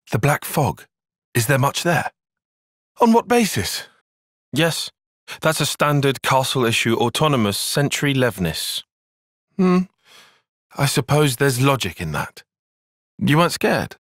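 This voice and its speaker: Young British male game tactician: articulate, cautious, easily exasperated, expressive range, dry close recording. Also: medium pitch, no room sound. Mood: urgent, tense. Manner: measured, formal, articulate, dry. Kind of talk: video game cutscene voice acting.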